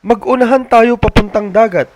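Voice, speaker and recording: An eager young storybook boy, acted out by a man. The voice is pitched well up for childlike beach adventures, with faint noise behind it. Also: normal speed. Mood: excited, challenging.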